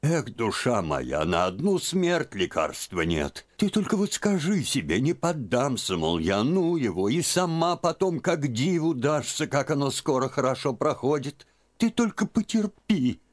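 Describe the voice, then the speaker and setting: A man voicing an excitable elderly aunt who frets and scolds in a raised voice. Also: measured pace. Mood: coaxing. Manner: shrill, fretful, scolding. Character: fussy excitable old aunt, elderly woman.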